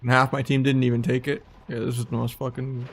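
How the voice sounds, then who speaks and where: A man's voice: mid-range voice, level tone, fast talker, vocal fry. A man giving casual, sardonic commentary on his own ranked match, muttering and swearing freely. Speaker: game streamer.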